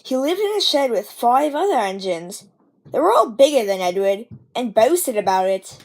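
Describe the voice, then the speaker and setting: A woman reading a children's storybook aloud in an expressive, measured storytelling manner. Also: fairly high pitch. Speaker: storybook reader. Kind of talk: storybook narration read aloud.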